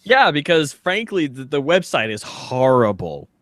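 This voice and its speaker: A man's voice: medium pitch, big pitch swings, measured pace, a bit bright, dry recording. A man co-hosting a podcast in casual comedic banter, speaking in a somewhat hesitant, stammering way. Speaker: podcast co-host.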